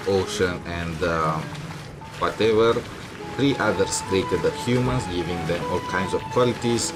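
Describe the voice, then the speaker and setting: Low-voiced man giving wry game commentary. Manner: wry, snarky asides. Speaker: gaming streamer playing a story game. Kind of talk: casual playthrough commentary.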